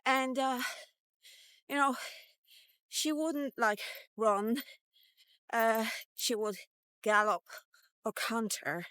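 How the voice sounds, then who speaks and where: A woman's voice: fairly high pitch, extremely slow, long pauses, fairly bright tone, smooth, no echo. A woman in her fifties telling a personal story in a casual, rambling, conversational way, like a homemade fairy tale. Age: 50s. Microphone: walkie-talkie style vlog, recorded close.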